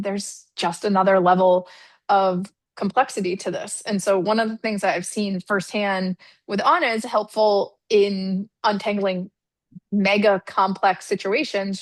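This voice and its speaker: A woman moderates an online lunch session in a loose, chatty way, leaning on fillers and quick laughs. Her voice sits mid-range and sounds close and dry. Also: medium pitch, no echo. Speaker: webinar host. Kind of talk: casual webinar moderating. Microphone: video call.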